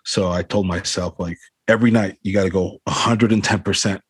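Low husky man rambling on a call. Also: steady tone, brisk, dry recording. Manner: rambling, swears a lot, many fillers like "you know what I mean". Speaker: band frontman, interview guest. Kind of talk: casual interview chat. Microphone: video call.

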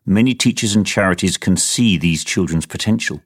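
A man reading scripted narration for a documentary podcast in a measured, steady way. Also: sits low.

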